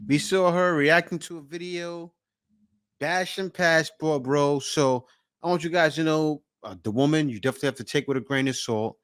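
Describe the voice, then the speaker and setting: A man hosting a reaction channel, talking straight to his viewers in a relaxed, casual way. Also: fairly high pitch, normal speed, clean tone, no echo, clean studio sound. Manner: relaxed, direct talk to viewers.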